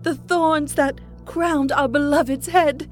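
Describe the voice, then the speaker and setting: A woman narrator voicing a fanatical, mocking woman patient in religious ecstasy, proclaiming in drawn-out phrases for an audiobook. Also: sits high, fairly even intonation, soft background music, voice pushed up. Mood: fervent proclaiming. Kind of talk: dramatic audiobook character acting.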